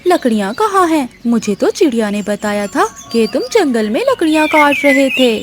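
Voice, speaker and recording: A woman acts a suspicious wife crow in a children's cartoon. She is very high-pitched and swings up and down as she scolds and questions. There is music behind her. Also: very animated, moderate pace. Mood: suspicious, scolding. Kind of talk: Hindi cartoon story dialogue.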